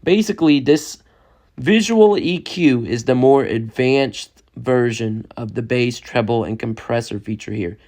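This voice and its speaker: A young man, a music producer, giving a loose, chatty step-by-step software lesson and often checking in with the listener. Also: medium pitch, unhurried. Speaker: music producer teaching a tutorial. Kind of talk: casual step-by-step software tutorial.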